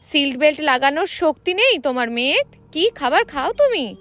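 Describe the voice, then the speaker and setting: A woman's voice: sits high, big pitch swings, moderate pace, a bit bright, no echo. A woman narrator voicing a stern, bossy young male love interest in an audio story, curt and teasing. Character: stern, bossy, secretly smitten young man.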